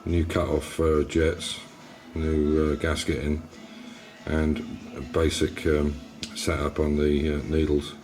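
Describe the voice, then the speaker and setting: A man's voice: very deep voice, little pitch movement, slow pace, darkish tone, reverberant. An English man hosting a casual car restoration vlog, rambling through his workshop with restarts and fillers. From England. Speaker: classic car restorer and channel host. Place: garage workshop.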